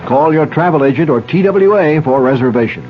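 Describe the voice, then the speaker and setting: Higher-voiced male radio ad announcer. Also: expressive, a little fast. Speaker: airline commercial announcer. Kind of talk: scripted 1970s radio ad read. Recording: dull 1970s radio broadcast with music.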